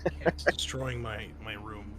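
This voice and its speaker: A man's voice: on the low side, unhurried. A man acting as game master, narrating and chatting in an informal, sweary way. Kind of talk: casual tabletop game narration and chat.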